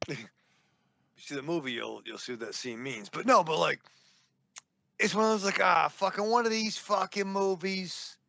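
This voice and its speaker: A man with a New England accent trades crude jokes as a podcast co-host. His voice is gravelly yet bright. The sound is dry and close. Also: sits high, normal speed, long pauses, no room sound. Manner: rambling, joking, swears a lot. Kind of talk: casual, profane banter.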